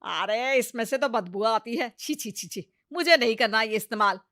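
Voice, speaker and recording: A hot-tempered village mother-in-law, acted by a woman for a comic cartoon. Her voice is highish, sharp and forceful, recorded close and dry. She scolds brashly and stubbornly dismisses whatever she is told. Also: lively intonation, normal speed, dry recording. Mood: defiant, scolding. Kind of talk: comic Hindi cartoon story acting.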